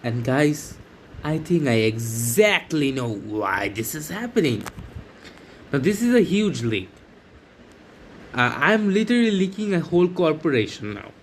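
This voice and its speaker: Excitable young man, halting delivery. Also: on the high side, slight background noise. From Bangladesh. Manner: excited, halting, with many restarts and fillers. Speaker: vlogger. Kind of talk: casual talk to camera.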